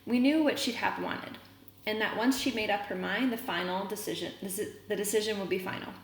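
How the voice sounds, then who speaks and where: A woman reading a children's novel aloud, pausing to explain words as a teacher would. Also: mid-range voice. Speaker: read-aloud reader and teacher. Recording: roomy echo, noticeable background noise.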